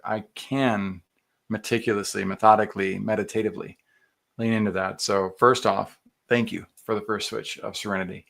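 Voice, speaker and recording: Low gravelly dude hosting a podcast, earnest and animated, lots of ums. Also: male voice. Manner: earnest, animated, with many fillers and quoted self-talk. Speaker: podcast host. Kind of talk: casual reflective chat.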